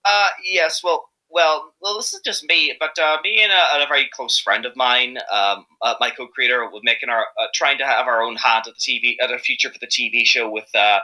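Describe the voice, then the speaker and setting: Bright, rambling Irish man. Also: mid-range voice, fairly even intonation, fairly quick, few pauses, poor audio. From Ireland. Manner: hesitant, rambling, enthusiastic. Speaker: interview guest, amateur fandub voice actor. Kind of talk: casual interview chat.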